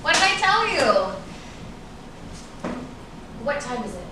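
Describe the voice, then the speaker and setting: A woman's voice: high-pitched, big pitch swings, takes it slowly, full character voice. An actress playing a guarded, ambitious woman fending off an old flame in a staged reading of a drama, with dramatic, emphatic delivery. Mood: guarded, earnest. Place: echoey indoor room at a staged reading. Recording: echoey room, distant mic, noisy.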